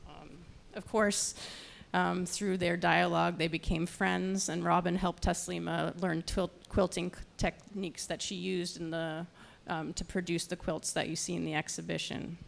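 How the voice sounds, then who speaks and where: Creaky-voiced woman introducing speakers. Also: lowish voice, level tone. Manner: halting, stumbles over words. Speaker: nonprofit programs director introducing panel speakers. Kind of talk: semi-scripted event introduction.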